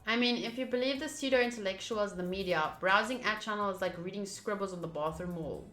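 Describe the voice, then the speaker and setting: Bright, roomy-sounding woman streamer. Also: medium pitch, brisk. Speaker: streamer playing a visual novel. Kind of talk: casual playthrough commentary, reading lines aloud. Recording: roomy sound with faint game music. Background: faint game music.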